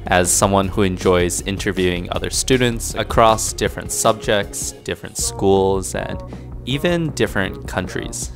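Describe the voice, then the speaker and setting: A young man from Canada speaks in a low-pitched voice as a vlog host. He narrates and puts questions to students, with clear music playing behind him. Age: young adult. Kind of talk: vlog narration and street interviewing. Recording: music over the voice. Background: background music.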